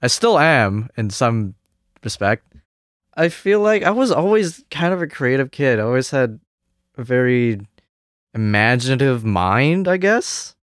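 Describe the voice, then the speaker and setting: A man's voice: mid-range voice, very animated, unhurried, smooth, no room sound, studio quality. A young man from Hong Kong, a music student and interview guest, answering casually with many hesitations. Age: young adult. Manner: hesitant, many fillers and restarts.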